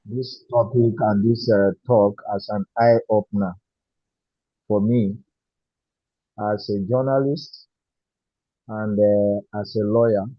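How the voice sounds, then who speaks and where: A man with a lower voice talks slowly and haltingly, pausing on fillers as he speaks at an online meeting. The call audio is dry and dull, with a lo-fi sound. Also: no room sound. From Nigeria. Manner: hesitant, many fillers. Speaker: meeting participant. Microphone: video call.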